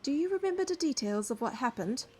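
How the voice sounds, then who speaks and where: An actress playing a caring, curious young heroine who narrates and speaks in a romance game, measured and earnest. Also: female voice, sits high, lively intonation, darkish tone, soft background music. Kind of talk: first-person narration and dialogue in a romance game.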